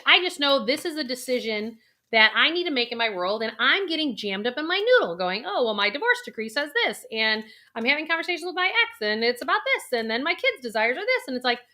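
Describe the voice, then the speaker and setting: A woman's voice: high-pitched, pushed and bright. A middle-aged woman, a financial planner, coaching the viewer through a guided intuition exercise with breathing.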